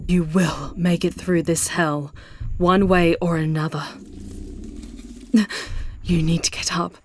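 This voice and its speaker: A woman voicing a charming, protective female demon in an ASMR roleplay, speaking theatrically and intensely in hushed, drawn-out phrases that often slip toward a whisper. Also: lowish voice, little pitch movement. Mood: alarmed, pleading.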